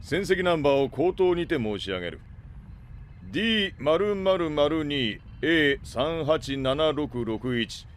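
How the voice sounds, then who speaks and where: An actor playing a gruff, grumbling freighter captain in a Japanese audio drama, with rough, slangy, irritable delivery. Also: male voice, on the high side, expressive, slow pace, a little hiss or hum. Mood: formal.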